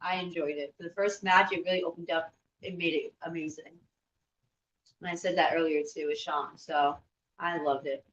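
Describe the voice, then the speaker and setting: A woman's voice: deep voice, steady tone, normal speed. A woman co-hosting in casual chat, giving enthusiastic, informal reactions and often saying "like". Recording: dull, roomy, distant microphone.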